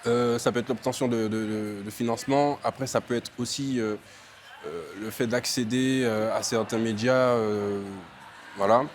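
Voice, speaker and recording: A man's voice: medium pitch, fairly even intonation, moderate pace, slight background noise. A young man from Martinique, an entrepreneur, answering interview questions with many fillers and restarts.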